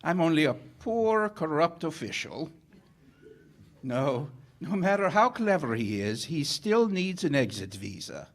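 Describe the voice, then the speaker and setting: Man playing a suave, corrupt captain, lively swooping voice. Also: on the high side, measured pace. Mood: sly, teasing. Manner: unctuous, wry, theatrically courteous. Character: suave, corrupt middle-aged police captain, smoothly charming. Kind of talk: 1940s radio theater acting. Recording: live stage show with music behind.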